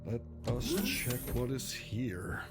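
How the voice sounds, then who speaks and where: Man commentating over loud game music. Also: mid-range voice, very animated, slow. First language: Danish. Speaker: game streamer. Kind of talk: casual game playthrough commentary.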